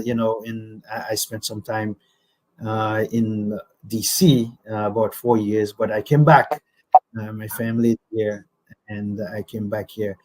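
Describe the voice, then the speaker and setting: A middle-aged man from Haiti, an executive director answering interview questions, hesitant with many pauses and restarts. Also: lowish voice, darkish tone, low-quality recording. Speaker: guest, nonprofit executive director.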